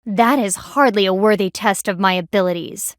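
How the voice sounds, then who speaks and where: A woman's voice: medium pitch, moderate pace, dry recording, studio quality, close to an everyday voice. A woman voicing a stern, haughty panda army lieutenant in a video game, speaking in a formal, clipped, dryly disdainful way.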